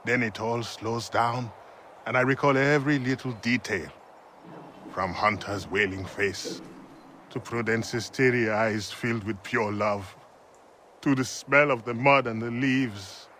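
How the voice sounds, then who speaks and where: An actor playing an earnest, emotive colonial settler and devoted husband in a video game. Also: male voice, medium pitch, fairly even intonation, measured pace, fairly bright tone, slight background noise, natural voice. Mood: tender, awed.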